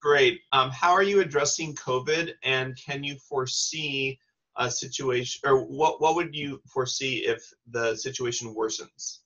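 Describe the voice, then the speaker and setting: A man asking a question on a video call, measured and polite. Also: mid-range voice, sharp tone. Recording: dull video call audio.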